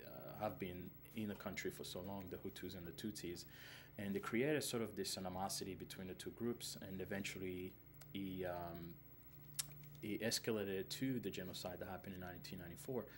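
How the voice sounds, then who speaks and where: A man in his thirties from Rwanda with a very low voice talks through interview answers slowly and earnestly, stopping often on fillers and restarts. A clear hiss of room noise sits under his voice. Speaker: filmmaker, interviewee. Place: indoors, seated in front of a film poster.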